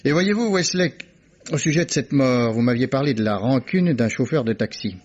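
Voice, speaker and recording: Guy doing a cocky teasing police inspector, husky voice, muffled old radio sound. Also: medium pitch, lively intonation, normal speed, a little mellow, natural voice. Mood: confident. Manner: self-assured, teasing, chuckling. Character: shrewd, jovial male police inspector. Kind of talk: 1950s French radio drama acting. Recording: dull old radio archive recording.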